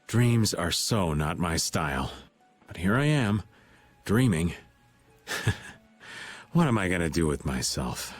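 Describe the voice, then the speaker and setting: A man's voice: low voice, sing-song, slow pace, long pauses, breathy edge, soft background music, exaggerated voice. A man voicing a playful, world-weary older man in a video game dub, with a teasing, theatrical, laid-back manner. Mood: wry, tired.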